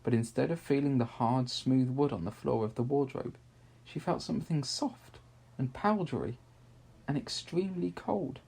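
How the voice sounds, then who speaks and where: A man reads a children's story aloud with measured, expressive phrasing. His voice is soft-edged and mellow, with a gravelly creak and some breathiness. He leaves deliberate pauses as he narrates. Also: mid-range voice.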